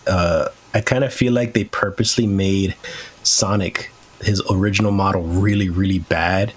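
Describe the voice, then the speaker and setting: Low-voiced man chatting casually. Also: fairly even intonation, moderate pace, slightly rough. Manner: opinionated, swears freely. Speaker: podcast host. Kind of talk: casual chat.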